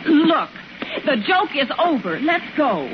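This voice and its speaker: An uneasy young woman in a 1950s radio suspense play. She is earnest and plaintive, with a fairly high voice, heard on a muffled and very noisy old recording. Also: very animated, takes it slowly, heavily acted. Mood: indignant. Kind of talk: 1950s radio drama acting.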